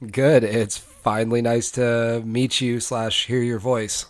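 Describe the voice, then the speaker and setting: A man hosting a podcast, speaking earnestly with measured pauses, a little congested from a cold. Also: medium pitch, flat delivery, no echo. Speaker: podcast host. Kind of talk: podcast intro and interview.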